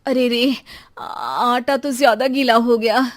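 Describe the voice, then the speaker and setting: A woman's voice: fairly high pitch, fairly even intonation, slow pace. A woman voicing a brash, boastful, impatient young daughter-in-law in a children's cartoon story. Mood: irritated. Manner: teasing, bragging, impatient. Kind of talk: children's animated moral story.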